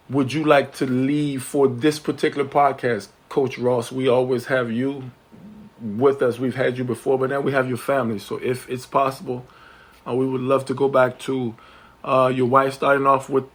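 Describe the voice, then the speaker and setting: A man hosting a podcast late at night, his voice hoarse from a sinus infection. He talks haltingly, full of ums and restarts, with courteous, formal phrasing at a middle pitch. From East Coast of the United States. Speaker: podcast host.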